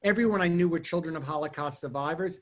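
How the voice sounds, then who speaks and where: Guy w/ german as first language on a video call, kinda high voice, rambly quick stories, lots of restarts. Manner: quick, digressive storytelling with restarts. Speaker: guest artist and lecturer. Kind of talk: answering questions in an online talk.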